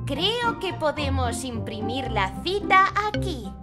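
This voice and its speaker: Little girl cartoon voice, super high and slow, curious and sweet, kids music behind. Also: sing-song, exaggerated voice. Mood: curious, excited. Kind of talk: preschool cartoon dialogue. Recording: children's music playing behind.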